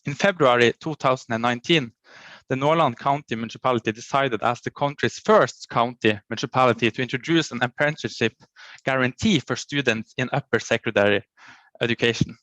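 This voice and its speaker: A man, a county education official reading a prepared conference presentation in a formal, halting way and often cutting off and restarting words. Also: medium pitch, a bit bright, no room sound.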